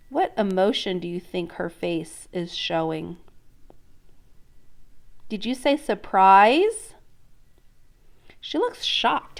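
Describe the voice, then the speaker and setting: A woman teacher reading a storybook aloud in an expressive, instructional way, prompting listeners with questions. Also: lowish voice, vocal fry. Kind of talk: interactive storybook read-aloud lesson.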